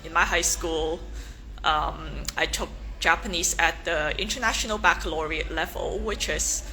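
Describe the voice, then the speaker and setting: A young woman from Hong Kong, a native Cantonese speaker, introduces herself on a casual livestream, slowly and with many ums and restarts. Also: sits low, fairly even intonation, sharp tone, rough recording. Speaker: pageant contestant.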